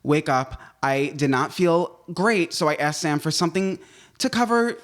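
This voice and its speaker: A man's voice: on the high side, moderate pace. Ranting man co-hosting a chat podcast. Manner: ranting, animated storyteller. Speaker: podcast co-host. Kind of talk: casual chat. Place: home podcast set.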